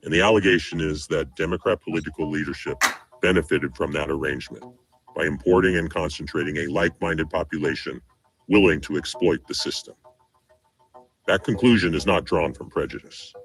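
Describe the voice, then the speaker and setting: A man's voice: rumbling low, brisk, soft background music. A man delivering scripted political commentary in a grave, declamatory way.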